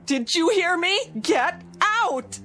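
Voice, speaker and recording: Very high-pitched, frightened, defiant woman. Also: expressive, takes it slowly, fairly bright tone, soft background music, heavily acted. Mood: defiant warning. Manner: alarmed, indignant, threatening. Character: frightened, defiant woman confronting a supposed intruder.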